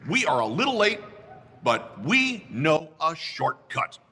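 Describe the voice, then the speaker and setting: A man reading aloud, voicing an unhurried male goose who drawls his words. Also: on the high side, very animated, pushed and bright, long reverb tail, slight background noise, full character voice. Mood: calm. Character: slow easygoing male goose.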